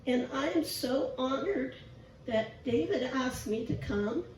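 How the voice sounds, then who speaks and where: Squeaky, raspy woman preaching slowly. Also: a little mellow, far from the mic. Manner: chatty, self-deprecating, asks the congregation questions. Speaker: lay preacher. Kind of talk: informal sermon. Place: church sanctuary. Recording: echoey church from afar, faint hiss.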